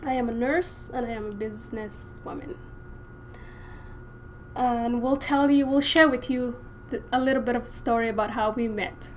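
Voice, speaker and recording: A woman's voice: fairly high pitch, fairly even intonation, moderate pace, a little hiss or hum. A woman from Somalia, a nurse, telling a shared story to camera in a chatty way and teasing her co-host.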